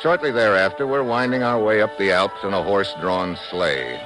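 An actor playing a hard-boiled, wisecracking American government agent in a 1950s radio drama, wry and slangy. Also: male voice, on the low side, moderate pace, over music, lightly acted. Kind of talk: 1950s radio acting, hard-boiled first-person narration. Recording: vintage 1950s radio recording.

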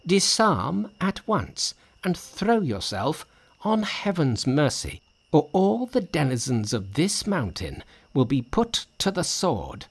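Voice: medium pitch, big pitch swings, slow pace, breathy edge, pitched above the performer's own voice, heavily acted. A narrator of unclear sex, voicing a pompous, menacing warrior spirit making imperious threats in a storybook tale. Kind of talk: dramatised storybook reading.

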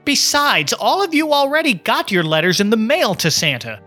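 A man's voice: extremely high pitch, big pitch swings, moderate pace, pushed and bright, faint music behind, voice pushed up, exaggerated voice. A man voicing a weary woman schoolteacher in a storybook reading, firmly explaining things to children. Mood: frustrated. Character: weary, sympathetic but frustrated woman schoolteacher. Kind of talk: storybook narration character voice.

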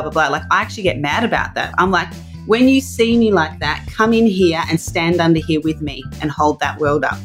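Low-voiced woman venting frankly. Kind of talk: candid personal story. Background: music.

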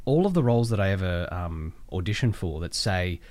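Low-voiced Australian man in conversation. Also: animated, a little fast, darkish tone. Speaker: voice actor, podcast guest. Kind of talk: casual interview conversation.

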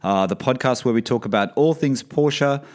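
A man hosting a casual podcast, talking in a relaxed, rambling way with many fillers, his voice a bit croaky from a cold. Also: mid-range voice, normal speed. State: croaky, coming down with something. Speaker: podcast host. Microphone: podcast mic over video call.

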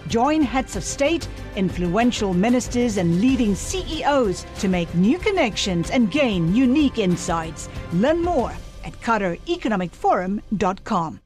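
A woman announcer voices a scripted promotion in a smooth, upbeat read, her pitch sitting in a middle register. Faint music plays underneath. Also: very animated, a little slow, darkish tone.

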